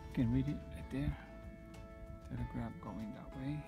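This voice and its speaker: A man in his thirties filming himself on a mountain hike, talking in a casual, unhurried way with many fillers and restarts, recorded on a phone held at arm's length outdoors. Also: mid-range voice, creaky, over loud music. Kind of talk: casual hiking vlog narration.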